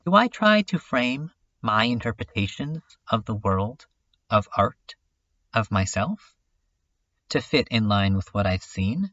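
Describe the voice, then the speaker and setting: Slow, brooding man, acted in muffled audio. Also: fairly low pitch, very animated, a bit bright, no room sound. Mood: pensive, wondering. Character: brooding, self-critical dreamer musing on art and self. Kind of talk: slow introspective dramatic monologue in a webcomic fan dub.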